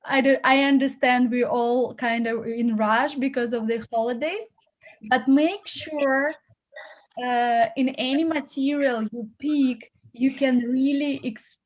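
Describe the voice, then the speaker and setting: A woman's voice: sits high, fairly even intonation, slow pace, low-quality recording. A woman hosting as an acting coach, giving encouraging feedback with many fillers and repeated words. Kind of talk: casual feedback on a video call.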